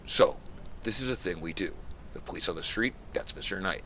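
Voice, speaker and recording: Man doing a sardonic old-school cop, very deep, sharp tone, dull lo-fi sound. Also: a little fast, slight background noise. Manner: gruff, sardonic. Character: gruff veteran police detective, sardonic. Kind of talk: amateur motion comic voice acting.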